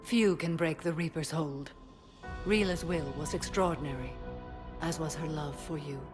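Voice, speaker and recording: An actress playing a solemn, dutiful warrior mother in a video game, speaking formally and gravely in measured phrases. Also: low-pitched, over music, natural voice. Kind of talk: dramatic video game acting.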